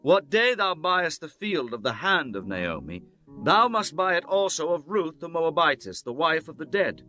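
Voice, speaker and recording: A man's voice: on the high side, big pitch swings, normal speed, sharp tone, faint music behind. A man playing an earnest, honorable biblical landowner in solemn, declamatory scripture drama. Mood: formal, businesslike. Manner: solemn, declamatory, archaic formal speech. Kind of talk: dramatized scripture audiobook acting.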